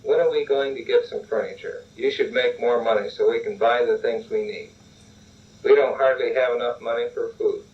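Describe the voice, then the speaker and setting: A man's voice: medium pitch, steady tone, moderate pace, lightly acted. A man voicing an angry wife who scolds and accuses, in a dull lo-fi recording made from a distance. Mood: angry, nagging. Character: angry, nagging wife complaining about money.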